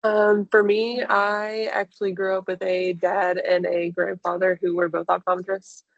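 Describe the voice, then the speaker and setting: A woman's voice: medium pitch, little pitch movement, normal speed, gravelly, dry recording. A woman in her twenties from Kansas City, Missouri, answering conversationally as a student guest on a webinar. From Kansas City, Missouri, United States. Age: 20s. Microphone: online video call. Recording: dull, lo-fi online call.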